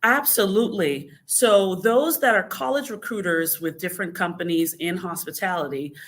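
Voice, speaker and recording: Measured woman from the Bahamas. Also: fairly low pitch, a bit bright. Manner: measured, explanatory, frequent "um" and "uh". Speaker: guest. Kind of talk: conversational podcast interview.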